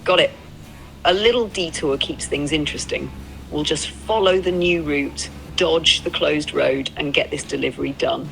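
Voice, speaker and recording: Low-pitched, creaky-voiced encouraging woman. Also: normal speed, a bit bright, faint music behind, poor audio. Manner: upbeat, supportive. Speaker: AI co-driver companion. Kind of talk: casual encouraging chat.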